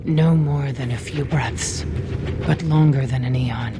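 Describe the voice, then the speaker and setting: A woman's voice: extremely low pitch, measured pace, music in the background. An actress voicing a haunted, half-mad dwarf woman in a fantasy video game, chanting eerily and breaking into anguished whispers. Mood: anguished, guilty. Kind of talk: dramatic fantasy game acting.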